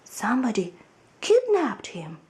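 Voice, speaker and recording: A woman's voice: fairly high pitch, huge pitch swings, measured pace, a little mellow, pitched above the performer's own voice. A woman voicing an eager, easily indignant young boy in a storybook, with an earnest, childlike manner.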